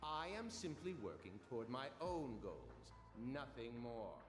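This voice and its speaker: A haughty, self-serving professor in a game. He is dismissive, his mid-pitched voice swinging widely in an echoing hall. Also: sing-song, unhurried. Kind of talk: video game cutscene voice acting. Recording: echoey hall reverb, faint music.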